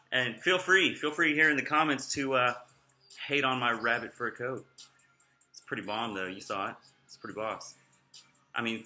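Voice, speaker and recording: Bright nasal young man rambling. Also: mid-range voice, reverberant. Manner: rambling, off-topic, chatty; slurring his words. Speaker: vlogger. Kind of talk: rambling casual vlog.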